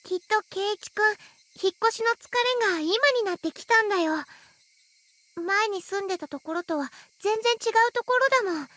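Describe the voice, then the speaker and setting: A sweet anime schoolgirl with an extremely high, girlish voice. She is gentle and a little timid, ending phrases in a cutesy way, as a visual novel heroine. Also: rapid-fire, dry recording. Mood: gentle reassurance. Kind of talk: Japanese visual novel voice acting.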